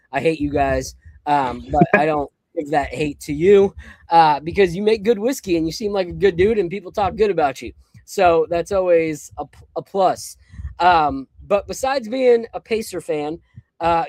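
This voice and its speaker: A man's voice: on the high side, a little mellow, no echo, poor audio. A man in his early forties hosting a podcast, chatting casually and candidly with jokes. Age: 40s. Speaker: podcast host.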